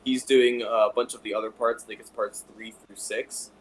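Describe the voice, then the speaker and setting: Man, podcast guest, casual and hesitant, mid-pitched voice, noisy background. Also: level tone, normal speed. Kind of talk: casual chat. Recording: clear background noise.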